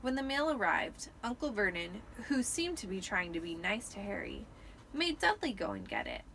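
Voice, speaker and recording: A woman's voice: mid-range voice, big pitch swings, moderate pace, bright, forceful tone, a little hiss or hum, low-quality recording. A tipsy woman giving a dramatic amateur audiobook narration. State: tipsy from wine.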